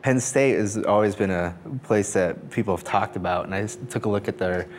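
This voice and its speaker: Relaxed young man, lowish voice. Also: a little fast, slight background noise. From Colorado. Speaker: graduate student. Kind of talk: casual group interview.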